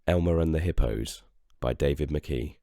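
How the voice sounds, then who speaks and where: A man reading a children's story as narrator, in an expressive storytelling manner. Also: a bass voice, moderate pace, no room sound, studio quality. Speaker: storybook reader and host.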